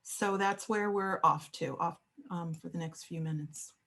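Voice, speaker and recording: A woman's voice: fairly low pitch, unhurried, gravelly, a bit bright. A woman education professor giving an online class over a video call, chatty and friendly, with many fillers.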